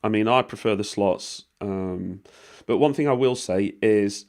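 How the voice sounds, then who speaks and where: A British man talks casually through a screen recording, his voice hoarse and worn from a cold. His pitch sits on the low side, and he rambles with plenty of ums and the odd swear word. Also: unhurried, darkish tone. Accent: British English. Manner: rambling and informal, with swearing and many fillers. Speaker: vlogger explaining money-making offers.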